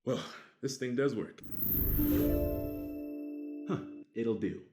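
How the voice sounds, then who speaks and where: A man's voice: medium pitch, takes it slowly, loud music behind the voice. A man co-hosting an educational show, narrating facts in a casual, chatty way with offhand remarks. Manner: casual, chatty, self-deprecating. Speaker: co-host presenting a show segment.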